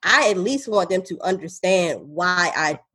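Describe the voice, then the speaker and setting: A woman's voice: lowish voice, expressive, unhurried, sharp tone, husky. A woman, a mother and photographer, talking earnestly in a casual podcast chat.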